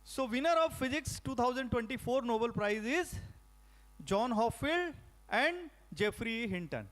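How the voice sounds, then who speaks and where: A middle-aged man with an Indian English accent, lecturing teachers on a training course and pausing often with fillers and restarts. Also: very high-pitched, animated, a bit bright. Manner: halting, many fillers and restarts. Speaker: faculty trainer.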